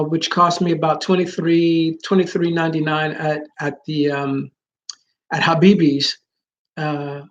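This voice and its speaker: A man with a naturally high voice who talks loudly, rambling with many fillers and restarts in a casual live chat with his viewers. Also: highs cut off. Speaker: vlogger.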